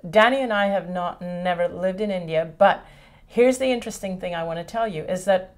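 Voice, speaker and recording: A woman's voice: fairly low pitch, brisk. A middle-aged woman from Hong Kong hosting a casual chat indoors, talking easily, searching for words now and then and laughing readily. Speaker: host. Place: indoor studio set with a painted brick wall.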